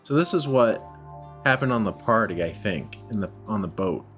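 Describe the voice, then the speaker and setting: A man giving casual gameplay commentary, thinking out loud in short, halting phrases. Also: fairly low pitch, animated. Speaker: let's play host. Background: music.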